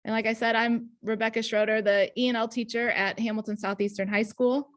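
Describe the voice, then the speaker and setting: A woman's voice: on the high side, little pitch movement, fairly quick. A woman in her thirties from Indiana, an ENL teacher giving a conference talk over a video call, earnest with frequent ums and restarts. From Indiana, USA. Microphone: webcam on video call.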